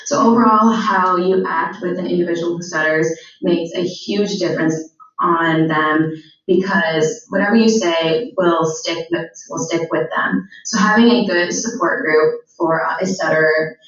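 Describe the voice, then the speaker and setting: A woman's voice: on the low side, measured pace, fairly bright tone. A teenage girl giving a school presentation, stuttering with repeated words and frequent fillers. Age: teens. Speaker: student speaker. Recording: very echoey, distant, dull sound.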